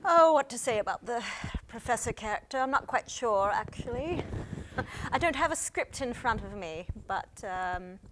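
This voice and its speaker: A woman's voice: on the high side, animated, normal speed. A woman chatting casually as a guest, hesitant and searching for words. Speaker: guest, actress and musician. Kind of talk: casual chat. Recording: faint background noise.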